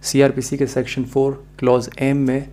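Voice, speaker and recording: A man's voice: medium pitch, little pitch movement, normal speed, warm tone. A man with a Pakistani accent giving a methodical, explanatory video lecture as a law teacher, mixing in English phrases.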